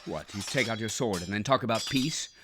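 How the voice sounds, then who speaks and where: A man's voice: lowish voice, animated, fairly quick, background noise, lightly acted. A man playing a hostile, hot-tempered nobleman. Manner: aggressive, contemptuous. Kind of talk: audio drama acting.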